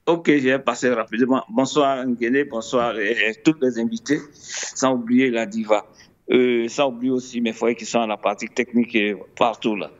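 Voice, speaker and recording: A man's voice: medium pitch, steady tone, normal speed. Rambling man on a muffled line. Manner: conversational, rambling, addresses others as brother. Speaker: panelist. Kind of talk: debate commentary.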